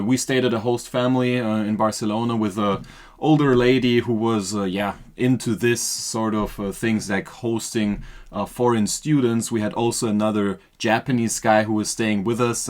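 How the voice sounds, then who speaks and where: A man, his voice sitting on the low side. He talks as a podcast guest, relaxed and rambling, full of fillers and restarts. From Austria. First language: German. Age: 20s. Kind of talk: casual podcast interview.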